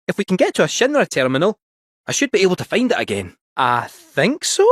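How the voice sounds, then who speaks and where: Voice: medium pitch, huge pitch swings, fairly quick, no room sound, clean studio sound. An actor voicing a jaunty, theatrical robot cat with a Scottish accent in a video game, helpful, folksy and a little fussy. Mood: hesitant, earnest. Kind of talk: animated video game character acting.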